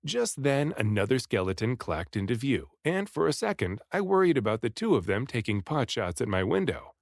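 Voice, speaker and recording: Man, expressive read-aloud narration, lively intonation, lowish-to-middle voice, dramatic storytelling, no room echo. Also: normal speed, vocal fry, clean studio sound. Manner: dramatic, animated delivery with acted dialogue. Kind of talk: expressive first-person audiobook storytelling.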